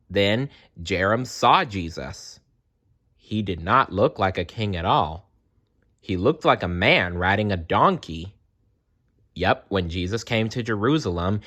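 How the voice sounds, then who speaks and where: This is a children's read-aloud narrator with a low-pitched voice. The delivery is slow and very animated, with dramatic pauses and playful asides to the listeners. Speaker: storyteller reading aloud.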